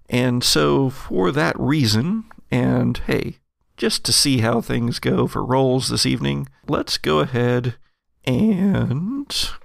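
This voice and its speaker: A man narrating a tabletop game as game master, describing scenes in an improvised, halting way. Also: mid-range voice, vocal fry, no room sound, studio quality.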